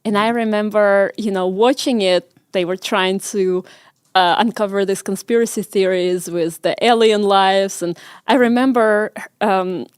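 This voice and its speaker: Russian-accented woman, smooth clear voice. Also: medium pitch, fairly even intonation, a little slow, dry recording, studio quality. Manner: hesitant, many ums, storytelling. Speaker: guest, medical researcher. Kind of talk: conversational interview.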